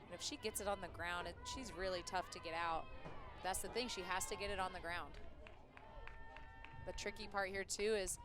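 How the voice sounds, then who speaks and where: A woman working as a color commentator, giving live softball analysis in a conversational, explanatory way. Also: lowish voice, steady tone, moderate pace, pauses often. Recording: music and crowd behind, outdoors. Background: music, ballpark crowd.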